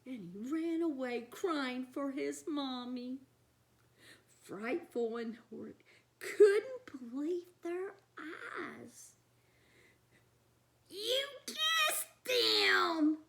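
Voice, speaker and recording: High-pitched, very animated woman storyteller. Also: extremely slow, gravelly. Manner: expressive, chatty asides to child listeners. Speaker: children's storybook reader. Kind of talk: animated read-aloud for young children.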